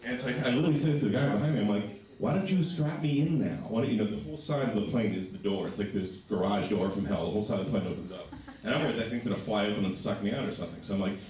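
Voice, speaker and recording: Stand-up comic with a low voice, echoey club. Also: male voice, racing, hardly any pauses. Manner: animated storytelling, voices for others. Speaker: stand-up comedian. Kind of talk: stand-up comedy set. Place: small comedy club. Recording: echoey club sound from a distant mic.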